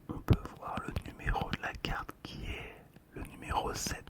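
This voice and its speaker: An ASMR creator whispering softly and unhurriedly, with pauses, close to a stereo microphone. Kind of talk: ASMR whispering, describing a collectible card.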